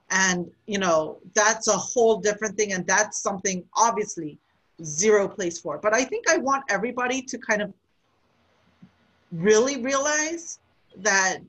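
A woman's voice: medium pitch, a bit bright, low-quality recording. A woman from the United States co-hosting a podcast, explaining her views earnestly in long, casual stretches. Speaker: podcast co-host.

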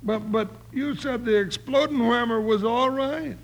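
An actor playing a folksy, excitable cowboy deputy in an old radio western, squawky and puzzled in Western slang. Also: male voice, very high-pitched, unhurried, heavily acted. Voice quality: gravelly, squawky. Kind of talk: 1950s radio western acting. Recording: noisy old radio recording.

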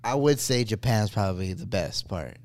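A man with a somewhat low voice co-hosts a relaxed chat, teasing his friends with easy laughs. His sentences often fade quietly at the end. He talks into a studio mic. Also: moderate pace, no echo. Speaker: podcast co-host. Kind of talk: casual chat.

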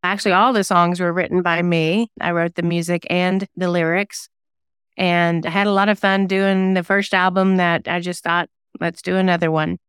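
A woman talks casually as a singer-songwriter being interviewed. Her voice is exceptionally smooth and clear, a little low, and recorded dry and close. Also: little pitch movement, normal speed, fairly bright tone, no echo.

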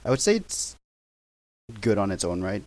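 Podcast guest, young man, lowish voice, loose rambling delivery. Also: big pitch swings, normal speed, darkish tone. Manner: rambling, self-correcting, laughs easily. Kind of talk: casual chat over video call. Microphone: video call.

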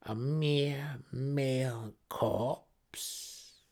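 A man voicing a cruel, imperious female dark elf high priestess with a menacing, scornful snarl in an audiobook. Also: fairly even intonation, very slow. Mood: mocking scorn.

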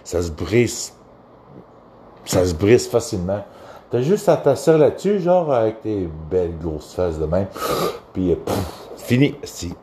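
A man's voice: lowish voice, very animated, unhurried, mellow, slight background noise. A man from Quebec with a Québécois accent, giving a casual, rambling rant in a crude, profane, hesitant way. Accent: Québécois French.

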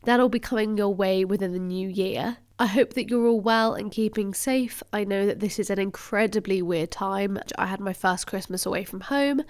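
A woman's voice: mid-range voice, dry recording. Dry-sounding young woman podcast host. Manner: chatty, lots of fillers like "like". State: blocked nose, slightly nasal. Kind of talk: casual podcast chat. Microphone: video call.

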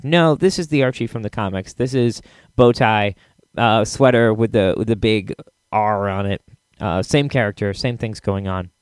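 An American man with a mid-pitched voice talks casually as a podcast host. He is enthusiastic and rambles haltingly through his thoughts, recorded close and dry. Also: no room sound. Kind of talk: casual chat to listeners. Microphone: close podcast microphone.

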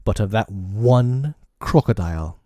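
A man voicing a proud, brooding, vengeful pirate captain from a storybook, with slow, drawn-out, dramatic delivery. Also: on the low side, expressive, mellow, no echo. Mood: brooding, wincing.

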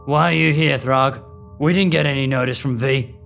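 Gravelly, suspicious rebel guard. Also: male voice, medium pitch, steady tone, moderate pace, a bit bright, faint music behind. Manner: challenging, grudging. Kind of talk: sci-fi audio drama acting.

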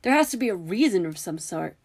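A woman's voice: fairly low pitch, sing-song, quick pace, darkish tone, husky, exaggerated voice. A woman voicing an indignant, scornful teenage boy wizard with emphatic, sarcastic outbursts. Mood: exasperated.

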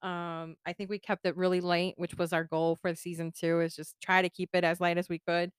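Smooth-voiced woman podcast co-host. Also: lowish voice, level tone, fairly quick, no echo, clean studio sound. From Puerto Rico. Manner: laughs often, bilingual code-switching. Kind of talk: casual chat.

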